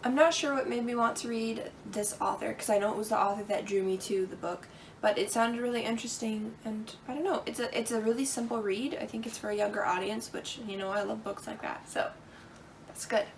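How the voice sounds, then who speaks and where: A woman's voice: a little high, steady tone, brisk, far from the mic, a little hiss or hum. A woman talking eagerly about the books she got, rambling a little between titles. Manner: enthusiastic, rambling. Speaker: co-host. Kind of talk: casual book haul chat.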